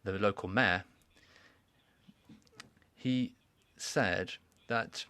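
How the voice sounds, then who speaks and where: A man giving a formal welcoming speech at a conference, measured and deliberate, with pauses and restarts. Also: lowish voice, bright, forceful tone, smooth, no echo. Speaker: conference speaker. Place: conference hall.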